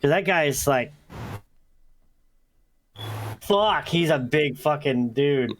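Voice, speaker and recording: A young man co-hosting a casual podcast, relaxed and explaining things at length, often restarting his sentences. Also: fairly high pitch, a little slow, slight background noise. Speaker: podcast co-host. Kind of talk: casual chat.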